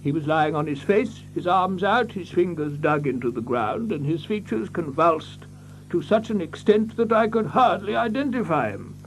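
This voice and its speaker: Flustered, high-pitched, stammering country doctor. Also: male voice, expressive, moderate pace, faint music behind, rough recording. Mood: agitated, earnest. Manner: stammering, dithering, repeats himself. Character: flustered, fussy, excitable English country doctor with a tale. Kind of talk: theatrical radio drama acting.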